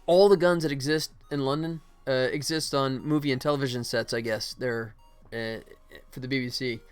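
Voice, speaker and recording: A man's voice: mid-range voice, fairly even intonation, moderate pace, clear, resonant tone, faint music behind. A man livestreaming as he works, thinking aloud in casual chat, sometimes muttering to himself. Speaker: livestream host, game animator.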